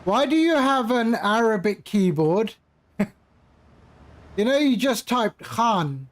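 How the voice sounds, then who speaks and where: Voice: medium pitch, animated, slow. A flight simulator streamer giving casual live commentary, patiently explaining and repeating phrases while chatting with viewers.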